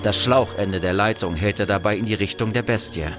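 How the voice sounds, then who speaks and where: Guy narrating a scary sci-fi story, lowish voice, music underneath. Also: a little fast. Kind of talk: dramatic scripted narration of a sci-fi horror audio drama.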